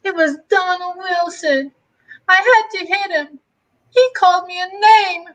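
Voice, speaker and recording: Brief: very high-voiced little boy, acted by an adult, indignant and defensive, for a vintage comic drama. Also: measured pace, a bit bright, rough recording. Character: indignant young boy, the narrator's little brother. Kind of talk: dramatic reading of a 1950s romance comic.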